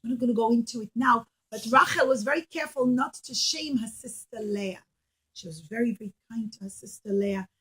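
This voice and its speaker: A woman teaching as an animated storyteller for children, speaking simply and emphatically and putting questions to her listeners. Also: fairly high pitch, a little fast, husky, low-quality recording.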